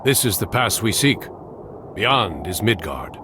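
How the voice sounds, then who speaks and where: An actor playing a resolute, curt warrior commander in a mythological video game cutscene. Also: male voice, on the low side, sing-song, unhurried, background noise. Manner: firm, curt.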